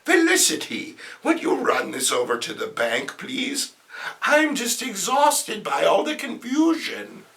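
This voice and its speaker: A man's voice: high voice, huge pitch swings, unhurried, creaky, fairly bright tone, full character voice. A man voicing a worried, indignant, sweet old aunt in a theatrical comic-book reading. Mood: weary, fond. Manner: exclamatory, flustered, theatrical.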